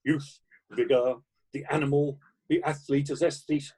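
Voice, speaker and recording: A man playing a husky, pompous theatre critic. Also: on the high side, steady tone, moderate pace, a little mellow. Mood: rapturous. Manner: pompous, grandiose. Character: pompous theatre critic declaiming his review.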